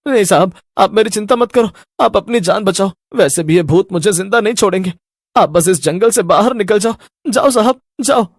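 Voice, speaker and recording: A man's voice: sits high, moderate pace, dry recording, pitched above the performer's own voice. A man acts out a timid police constable in a horror cartoon. He pleads anxiously, like a frightened family man. The sound is close and dry. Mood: desperate pleading. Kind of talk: Hindi horror cartoon voice acting.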